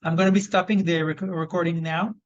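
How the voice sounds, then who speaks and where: Man, Israeli accent, high voice, HR trainer, casual with lots of fillers, muffled video call sound. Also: little pitch movement, brisk. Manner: loose, conversational, lots of fillers and restarts. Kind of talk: live online training session.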